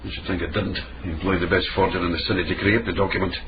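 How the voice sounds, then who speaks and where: A man acting a wary fugitive in a period radio drama, his voice deep. He is sardonic and formal in his phrasing. The recording is muffled and heavy with noise. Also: steady tone, very fast, close to an everyday voice. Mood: dry, stern.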